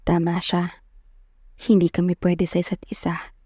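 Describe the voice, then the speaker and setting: A woman's voice: lowish voice, monotone, unhurried, warm tone, husky, no room sound, studio quality. An actress playing a poor, determined, lovestruck young provincial woman who narrates her own story earnestly and introspectively. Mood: torn, fearful. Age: young adult. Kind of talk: scripted first-person dramatic narration. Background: music.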